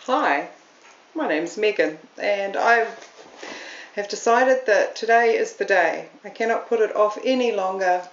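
A woman's voice: mid-range voice, unhurried, a little hiss or hum. Woman, New Zealand accent, middle-aged, chatty and self-deprecating, echoey room. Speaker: podcast host. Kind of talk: casual solo talk to camera. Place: room at home. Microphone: camera on a stand. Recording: roomy, slightly dull home recording.

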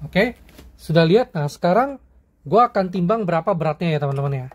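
A man's voice: sits high, lively intonation, a little fast, darkish tone. Chatty man reviewing flashlights. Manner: chatty, slangy, frequent "ya" and "teman-teman". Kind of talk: casual product review vlog.

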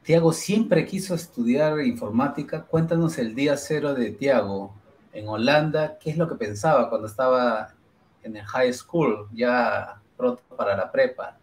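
A man hosting a casual video-call interview, asking questions in a friendly, unhurried way. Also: medium pitch, a little hiss or hum, poor audio. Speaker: podcast host. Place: home room with purple lighting.

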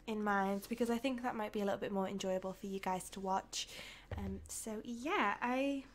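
A young woman from the UK with a croaky, tired voice, chatting casually and rambling as a YouTuber over her game build. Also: a little high. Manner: rambling, chatty, with soft laughs.

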